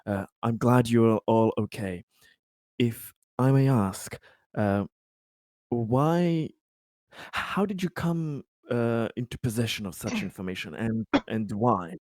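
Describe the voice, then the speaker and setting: A man playing a courteous, formal colony leader who speaks in a halting, polite way in a tabletop game. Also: medium pitch, expressive, a little mellow, no echo, clean studio sound. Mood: courteous, probing.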